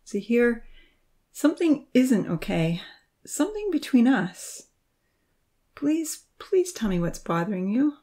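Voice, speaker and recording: A woman voicing an earnest young woman in love in a story, soft-spoken and pleading. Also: on the high side, big pitch swings, slow, long pauses, voice pushed up, heavily acted. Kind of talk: storybook character reading.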